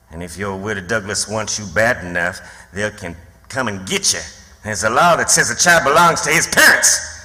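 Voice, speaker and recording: A man's voice: on the low side, sharp tone, full character voice. An actor playing a menacing, drunken old backwoods father with a rural Southern American accent, sneering and taunting in radio drama style. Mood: greedy, threatening. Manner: sneering, taunting, folksy dialect. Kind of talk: theatrical radio drama acting. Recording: long hall reverb.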